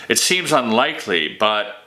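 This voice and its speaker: Man reading as an earnest, bright-voiced prince. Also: medium pitch, very animated, normal speed, voice pushed up. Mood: earnest, hopeful. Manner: earnest, reasoning. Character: king's loyal, trusting younger brother, earnest prince.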